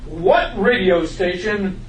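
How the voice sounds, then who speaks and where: A man's voice: sits high, slow. A man playing a peppy, smug radio contest DJ with announcer-like delivery at a sitcom table read. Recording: roomy, distant, faintly noisy live recording.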